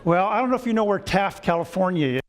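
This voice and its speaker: A man in his seventies giving a lecture with personal stories, wry and chuckling, often clearing his throat. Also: high-pitched, expressive, fast. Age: 70s. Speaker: guest lecturer. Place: lecture hall.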